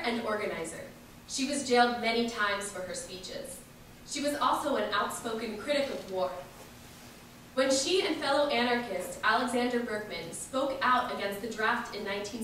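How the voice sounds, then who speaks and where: Higher-voiced woman narrator, very echoey hall. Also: fairly bright tone. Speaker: event narrator. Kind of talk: scripted biographical introduction read aloud. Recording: very echoey hall, distant, faint noise.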